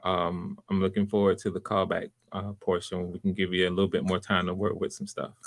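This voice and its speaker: A man's voice: low-pitched, monotone, fairly quick. A man casually hosting a livestreamed audition, giving encouraging, conversational feedback. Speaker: host and casting director of live auditions. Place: live video call stream.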